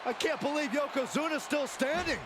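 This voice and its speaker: A man giving excited, partisan color commentary on wrestling. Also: extremely high pitch, a little fast. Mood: urging. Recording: noisy, loud arena crowd.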